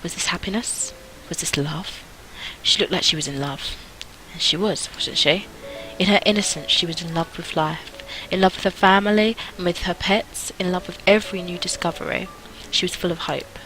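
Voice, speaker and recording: A woman's voice: on the low side, moderate pace, pushed and bright, soft background music, rough recording. A young adult woman reading a visual novel aloud, with casual asides as host of a gaming video.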